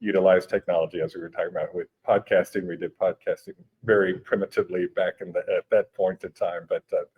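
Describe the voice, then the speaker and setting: Man, husky, deep voice, native Spanish speaker, relaxed, halting explanations. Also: rough recording. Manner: relaxed, explanatory, many hesitations and restarts. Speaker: guest, education technology company director. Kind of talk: conversational interview. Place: conference venue.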